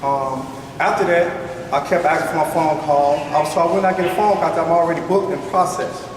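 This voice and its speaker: Man, high voice, quick pace, insistent public testimony, heavy echo, noisy recording. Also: fairly even intonation. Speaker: community leader and rights educator speaking at press conference. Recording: very echoey hall, distant mic, noisy.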